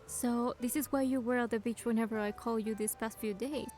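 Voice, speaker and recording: Here is a woman playing a young heroine narrating a romance game in first person. Her voice is on the high side and very mellow, with a gentle, measured manner. Quiet music plays behind. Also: steady tone, natural voice.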